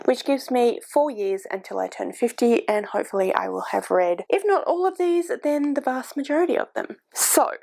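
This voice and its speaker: Chatty woman in her forties, gravelly. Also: a little high, expressive, normal speed, hardly any pauses, darkish tone. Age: 40s. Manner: chatty, rambling, with frequent ums and self-corrections. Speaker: book YouTuber. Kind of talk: casual booktube chat. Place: home, with a cat on the microphone. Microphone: desk microphone.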